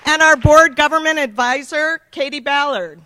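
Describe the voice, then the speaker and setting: A woman with a high, bright and loud voice opens a conference from a podium microphone. Her delivery is upbeat and formal as she reads through thanks and introductions. A faint hum sits behind her in the large room. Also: fairly even intonation, moderate pace, a faint crowd. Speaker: CEO opening a summit. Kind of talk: welcome speech at a conference.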